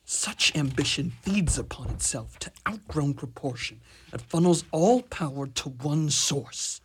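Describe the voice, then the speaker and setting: Guy doing scheming roman senator, husky, slow, swoopy courtly speech, radio play. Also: fairly high pitch, lively intonation, fairly bright tone, full character voice. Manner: slow, declamatory, courtly phrasing. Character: formal, flattering, conspiring Roman senator. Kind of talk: amateur Shakespearean radio drama acting.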